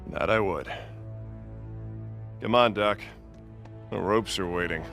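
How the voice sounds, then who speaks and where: Cool male adventurer, laid-back drawl-free delivery, unhurried, loud music behind, animated game. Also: deep voice, creaky, exaggerated voice. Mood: easygoing. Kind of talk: animated video game cutscene acting.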